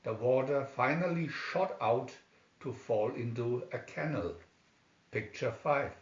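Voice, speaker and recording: A man reads a children's fairy tale in a halting, deliberate way. His pace is very slow and his pitch sits in the middle for a man. The room is echoey around his voice. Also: expressive. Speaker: storybook reader. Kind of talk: scripted fairy tale narration. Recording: echoey room, dull lo-fi sound.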